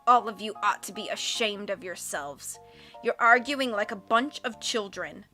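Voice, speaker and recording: A woman's voice: medium pitch, unhurried, sharp tone, faint music behind. A woman voicing a tyrannical, impatient male villain count, scolding and commanding, in a storybook. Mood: roaring, scolding.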